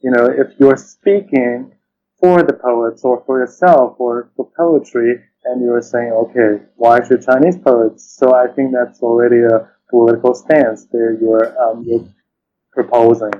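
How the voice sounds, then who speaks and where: A man's voice: medium pitch, steady tone, unhurried, warm tone, highs cut off, slight background noise. A man speaking as an interview guest and translator, thoughtful and hesitant, often rephrasing as he reflects.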